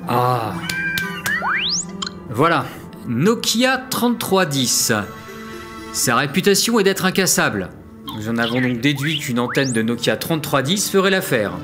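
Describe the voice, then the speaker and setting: An actor playing a bumbling, forgetful captain in a comic audio drama, with a hesitant, self-correcting manner. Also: male voice, on the high side. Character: bumbling, forgetful male captain of a time mission. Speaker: captain of a time-travel mission. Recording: music behind the voice.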